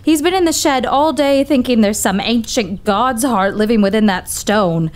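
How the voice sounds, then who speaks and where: A woman's voice: fairly high pitch, moderate pace, close to an everyday voice. A woman playing an earnest, pleading assistant. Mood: distraught. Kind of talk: audio drama acting.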